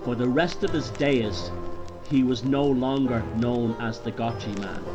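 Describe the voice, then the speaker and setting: An Irish man tells a horror tale as its narrator. His voice is mid-pitched and dramatic, reading scripted prose with music playing behind him. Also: a little slow, highs cut off. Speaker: author and narrator.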